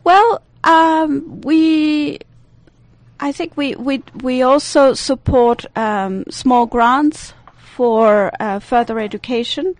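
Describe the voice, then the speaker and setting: Woman, Swiss Italian accent, slightly high voice, halting with fillers, dry studio sound. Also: no room sound. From Italian-speaking Switzerland. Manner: hesitant, searching for words with many fillers. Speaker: radio guest. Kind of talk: radio interview. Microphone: studio microphone.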